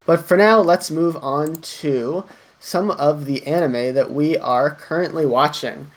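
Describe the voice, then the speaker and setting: A man's voice: on the high side, unhurried. A man co-hosting a casual anime podcast, rambling through his points with lots of fillers, restarts and "like". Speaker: podcast co-host.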